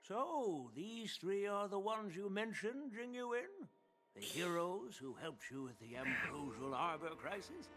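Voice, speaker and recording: A man's voice: high-pitched, animated, measured pace, fairly bright tone. A man playing a genial, humble, very old general and master smith in an anime-style game. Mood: friendly.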